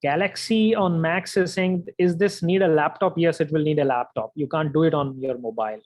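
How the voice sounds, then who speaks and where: A man's voice: fairly high pitch, a little fast, a little mellow. A man with an Indian accent hosting a live webinar as a coding instructor, reading out and answering viewer questions in a reassuring way, often tagging on "right?" and "you know".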